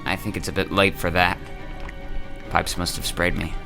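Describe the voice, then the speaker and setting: An actor playing an earnest, tense robot warrior in a sci-fi audio drama. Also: male voice, sits low, flat delivery, moderate pace, vocal fry, over music. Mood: resigned.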